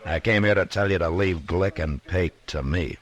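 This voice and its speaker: An actor playing a stern, laconic Old West marshal in a measured, firm manner, in 1950s radio western style. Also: male voice, low voice, lightly acted. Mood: stern warning.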